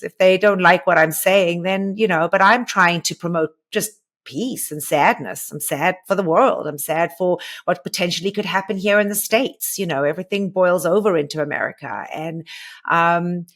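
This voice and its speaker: A woman co-hosts a podcast with a fairly low voice. She talks in a relaxed, casual way, pausing often and restarting while she searches for the right words. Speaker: podcast co-host. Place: lives in the United States.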